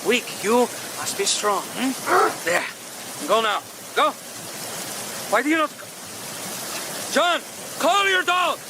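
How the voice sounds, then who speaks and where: A man playing an excitable, dreamy gold prospector in a radio drama, with colourful, exclamatory speech. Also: very high-pitched, slow, long pauses, heavily acted. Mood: urgent, pleading. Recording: noisy outdoor river effects behind.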